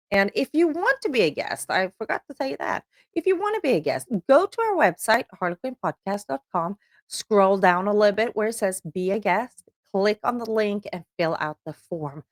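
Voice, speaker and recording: A woman's voice: mid-range voice, dry recording, clean studio sound. A middle-aged woman from Sweden with a Swedish accent, hosting a podcast and chatting upbeat with frequent ums. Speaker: podcast host. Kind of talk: solo promotional announcement.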